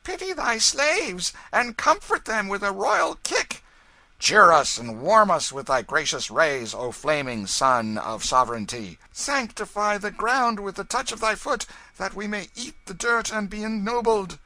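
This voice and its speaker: A man's voice: sits high, expressive, unhurried, sharp tone, pitched above the performer's own voice, full character voice. A man voicing jeering ragged vagabonds in a storybook, wailing and shrieking in mock worship. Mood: mock pleading.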